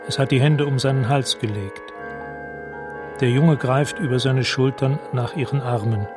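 A man's voice: medium pitch, normal speed, over music. A man narrating a radio drama in a detached, precise, scene-setting way.

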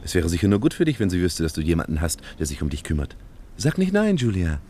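Smooth scheming young boyfriend, radio drama, lowish voice, really animated melody, persuasive. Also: male voice, fast, a little hiss or hum. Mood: coaxing, scheming. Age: young adult. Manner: persuasive, glib. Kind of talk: German radio play acting.

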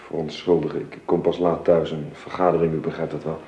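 Deep-voiced, formal middle-aged father. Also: flat delivery, brisk, warm tone. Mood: stiff, hurried. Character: formal, stiff, hurried father and gentleman employer. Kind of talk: Dutch radio play acting. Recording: muffled, roomy old radio recording, faint noise.

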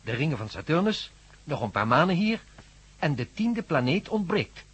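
An actor playing an eager scientist in a radio play, explaining and thinking aloud in a husky voice. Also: male voice, a little high, big pitch swings, normal speed, fairly bright tone, exaggerated voice. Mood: thoughtful. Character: eager scientist man, reasoning out a bold theory.

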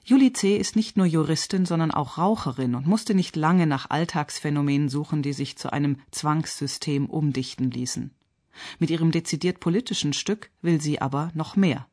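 A woman radio critic reading a scripted theatre review in an articulate, measured and pointed manner. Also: deep voice, mellow.

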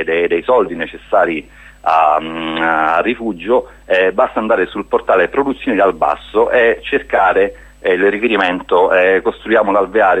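A man's voice: sits low, fairly bright tone, husky. A man speaking as a guest in a radio interview, explaining a project in an earnest way. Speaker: guest, spokesman of a hiking association.

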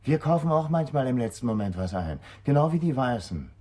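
Radio drama militant suspect: mid-pitched, sardonic, mocking, defiant towards police. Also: male voice, big pitch swings, brisk, darkish tone. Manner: mocking, provocative. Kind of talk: German radio drama acting.

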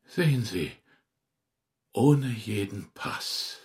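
An actor playing a dying, resigned old man in a radio drama, speaking faintly and haltingly. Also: lowish voice, expressive, husky, exaggerated voice. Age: older. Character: dying old Russian poet in exile, resigned.

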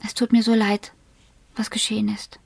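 An actress playing a shaken, cautious woman in a radio crime drama, with a breathy, hushed, guarded delivery. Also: medium pitch, monotone, moderate pace, no echo, far from the mic. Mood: subdued.

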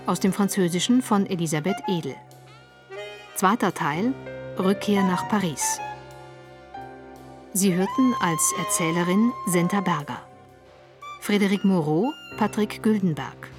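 A woman reading scripted radio play credits as a broadcast announcer. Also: lowish voice. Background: music.

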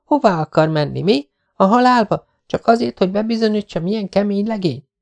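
A man's voice: high-pitched, animated, creaky, a little mellow, pitched above the performer's own voice. A man reading an audiobook, voicing a worried, fiery young woman who pleads with rising emotion. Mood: upset. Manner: pleading, rising to near-hysterical.

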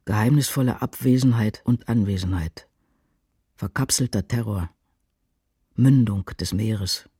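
A man reciting poetry for a radio drama in a grave, measured, declamatory way. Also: lowish voice, dark tone, breathy edge, dry recording, studio quality, exaggerated voice. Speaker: reader in a radio adaptation of a poem cycle. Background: music.

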